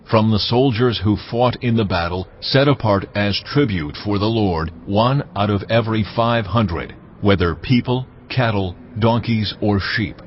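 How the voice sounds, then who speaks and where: Low, commanding voice of God, acted by a man. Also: close to an everyday voice. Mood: solemn, commanding. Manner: measured, authoritative. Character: God, a solemn, commanding male divine voice. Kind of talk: dramatized scripture acting. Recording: muffled, with noisy ambience underneath.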